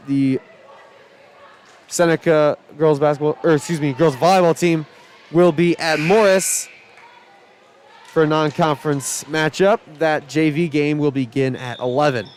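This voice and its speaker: A man calling high school volleyball play by play, shouting excitedly over rallies, his voice starting to go. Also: unhurried. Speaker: play-by-play announcer.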